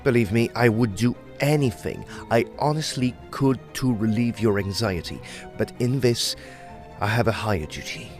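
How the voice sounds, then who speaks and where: An actor as an urgent, emphatic scholar-explorer. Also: male voice, medium pitch, normal speed, faint music behind, natural voice. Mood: grave, guarded. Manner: formal, emphatic, theatrical. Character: urgent, learned, secretive male Egypt explorer and scholar. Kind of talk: audio drama acting.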